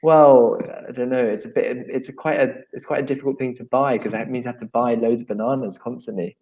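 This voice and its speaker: A man's voice: mid-range voice, fairly even intonation, a little mellow, poor audio. A man co-hosting a casual online English lesson, chatty and encouraging toward viewers. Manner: chatty, encouraging, quick to agree. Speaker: English teacher co-host.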